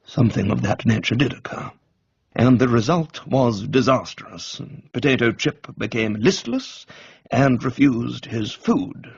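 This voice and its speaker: An erudite, deferential valet voiced by a man in a radio comedy. He is measured, formal and precise, with a husky, creaky voice in a slightly dull recording. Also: medium pitch, lively intonation, dry recording, heavily acted. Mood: calmly explanatory.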